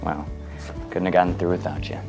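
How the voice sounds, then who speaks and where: A man's voice: low voice, little pitch movement, brisk, gravelly, music in the background. A man acting a wry brother in a scripted radio ad, teasing with dry sarcasm.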